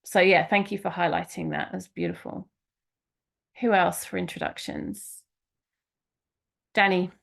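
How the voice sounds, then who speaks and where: Gravelly-voiced woman hosting a group call. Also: fairly low pitch, fairly even intonation, unhurried, long pauses, a bit bright. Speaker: business mentor hosting a group session. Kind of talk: casual group facilitation.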